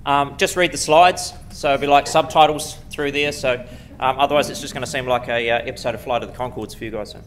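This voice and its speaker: A middle-aged man with a New Zealand accent, giving a quick, self-deprecating conference lecture full of ums and restarts, speaking into a lectern mic in a lecture room. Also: medium pitch, fairly even intonation, fairly bright tone, slight background noise. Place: lecture room before a seated audience. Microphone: podium mic at a lectern.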